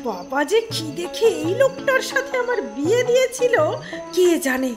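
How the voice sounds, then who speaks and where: A woman's voice: very high voice, sing-song, normal speed, over music. A woman voicing a long-suffering, sarcastic housewife in a cartoon, scolding dramatically. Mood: bitter sarcasm.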